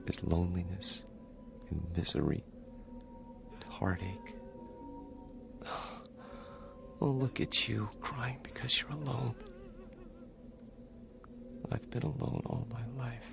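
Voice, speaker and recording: An actor playing a lonely, shy man in love with a doll, talking in a soft breathy whisper in a radio drama. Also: mid-range voice, animated, slow, long pauses, music in the background, full character voice. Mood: tender.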